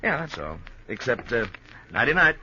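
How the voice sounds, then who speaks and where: An actor playing a smooth-talking, menacing gambler in a 1950s American radio crime drama, with slangy, coolly persuasive delivery. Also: male voice, medium pitch, very animated, normal speed, lightly acted. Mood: casual, persuasive. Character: smooth-talking male gambler turned cold, menacing gunman. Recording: muffled, noisy old 1950s radio recording.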